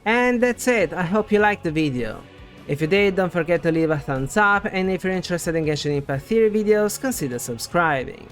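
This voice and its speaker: A man with a somewhat high-pitched voice delivers scripted game theory commentary. He explains things in a conversational way, like a video essay host. Faint music plays behind his voice. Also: animated, fairly quick. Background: background music.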